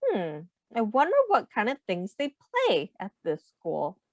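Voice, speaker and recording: A woman reading a children's storybook aloud in an expressive, simple teacher's manner, pausing to put questions to young listeners. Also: medium pitch, no room sound.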